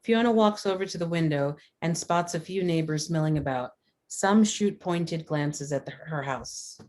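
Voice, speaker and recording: Low-voiced woman reading stage directions. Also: moderate pace, darkish tone. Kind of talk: scripted screenplay narration at a table read.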